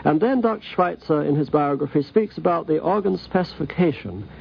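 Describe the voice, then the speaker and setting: A man with a gravelly, husky voice giving an explanatory talk on radio. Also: on the high side, a little fast. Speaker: commentator on organ building. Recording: dull 1950s radio recording.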